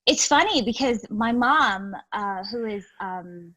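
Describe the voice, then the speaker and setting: A woman's voice: a little high, takes it slowly. A woman from Guyana, a guest chatting earnestly in an interview, full of restarts and asides. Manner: animated and earnest, many "you know"s and restarts. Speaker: guest, healer and educator. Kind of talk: casual interview chat.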